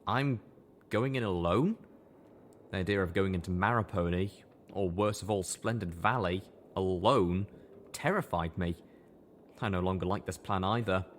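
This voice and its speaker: A man's voice: low voice, moderate pace, a little hiss or hum. A man reading scripted first-person fiction as a dramatic audiobook narrator.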